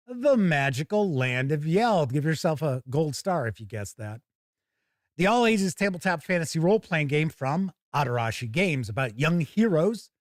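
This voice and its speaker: A man's voice: fairly high pitch, no echo, studio quality. A man hosting a live gaming news show, chatty and wry, moving between casual asides and reading out news copy. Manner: animated, wry, conversational.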